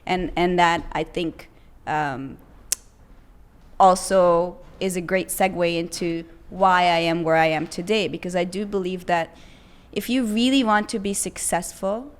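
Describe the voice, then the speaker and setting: Woman, lowish voice. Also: fairly even intonation, a little slow. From Hungary. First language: Hungarian. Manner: reflective, earnest, with frequent fillers and restarts. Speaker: podcast guest. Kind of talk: casual interview chat.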